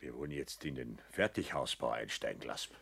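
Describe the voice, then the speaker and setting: A gruff, self-satisfied middle-aged man in a radio play. The voice is very deep and husky, moving up and down a lot as he hands out his opinions. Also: animated, moderate pace, fairly bright tone, no room sound, exaggerated voice. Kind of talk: radio drama dialogue.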